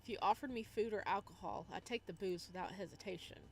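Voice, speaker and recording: A woman's voice: mid-range voice, fast, creaky. A woman playing through a visual novel, reading narration aloud and breaking in with casual, playful, teasing commentary. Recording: noticeable background noise.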